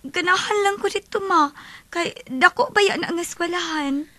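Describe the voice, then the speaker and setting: A woman with a Filipino Cebuano accent playing a sweet, lovestruck high school girl in a radio drama, girlish and emotive. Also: normal speed, sharp tone, no room sound. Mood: earnest. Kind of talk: radio drama acting and first-person narration. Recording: dramatic music behind the voice.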